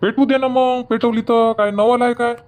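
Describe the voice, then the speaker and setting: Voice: mid-range voice, fairly even intonation, normal speed, a little mellow, lightly acted. Comedy cartoon village husband, played by an actor: farmer, grumbly and dismissive, rural Vidarbha Marathi accent.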